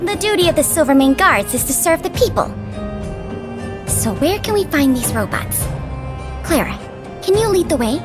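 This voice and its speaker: An earnest young female intelligence officer from an anime-style game. The voice is high and animated, with articulate, businesslike phrasing and polite duty in it. Music plays clearly behind. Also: moderate pace, long pauses. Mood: helpful, composed.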